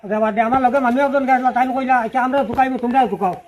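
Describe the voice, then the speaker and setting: Middle aged sylheti guy, really high voice, rambling repetitive story, bit of background noise. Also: fairly even intonation, quick pace, a little mellow. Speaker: father-in-law telling his family's story. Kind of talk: unscripted personal account to listeners.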